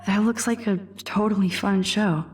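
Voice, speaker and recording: A young woman with an American accent voicing an introspective, wry teenage student in a video game, musing to herself in a hushed voice. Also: mid-range voice, fairly even intonation, normal speed, faint music behind, lightly acted. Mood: pensive, sardonic. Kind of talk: game character thinking aloud.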